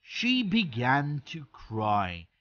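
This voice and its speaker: A storyteller narrating a children's fairy tale from a script in short, deliberate phrases. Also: medium pitch, wildly swooping pitch, fairly bright tone, no room sound, dull audio. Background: music.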